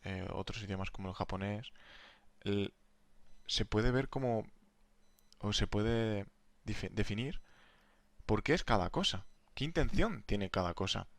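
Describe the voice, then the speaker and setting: A young man co-hosting a casual podcast, enthusiastic and quick to laugh. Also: on the low side, gravelly, a bit bright, dry recording. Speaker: podcast co-host, language teacher. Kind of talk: casual conversational chat.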